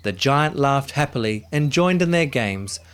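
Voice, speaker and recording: A man narrating a children's story in an expressive, dramatic way, with music behind him. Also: mid-range voice, a little slow, clean tone.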